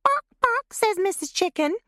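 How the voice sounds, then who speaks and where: A woman voicing a shrill, gleeful teenage girl prankster who squawks and yells. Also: expressive, moderate pace, dry recording, clean studio sound. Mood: mocking.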